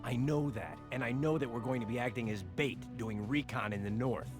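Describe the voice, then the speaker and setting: A man's voice: mid-range voice, fairly quick, music in the background, natural voice. A man playing a wary, sceptical army commander in a video game cutscene, grim and grumbling.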